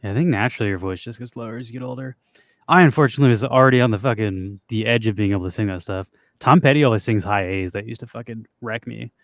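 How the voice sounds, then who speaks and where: A young man with a low, raspy voice, chatting loosely and swearing casually as a podcast co-host. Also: fast talker, no room sound. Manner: loose and profane, trails off with fillers. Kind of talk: casual chat with guitar and singing.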